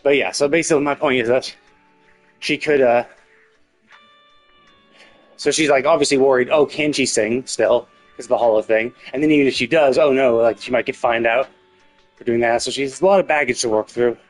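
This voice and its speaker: A man's voice: mid-range voice, fairly even intonation, brisk, long pauses. Rambling man streaming, game music behind. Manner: rambling, thinking aloud; mild casual swearing. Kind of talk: casual live commentary on a story game. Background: game music with guitar.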